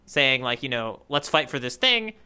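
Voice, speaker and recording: A man co-hosting a podcast in casual discussion, thinking out loud in a halting way and rephrasing himself as he goes. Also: mid-range voice, very animated, smooth. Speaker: podcast co-host.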